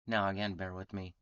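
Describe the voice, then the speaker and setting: A man's voice: low-pitched, fairly bright tone, no echo, dull audio. A man with a cold, sounding congested, reading scripture aloud and explaining it, stumbling and restarting words often. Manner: halting reading with frequent restarts and throat clearing. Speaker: Bible reading channel host.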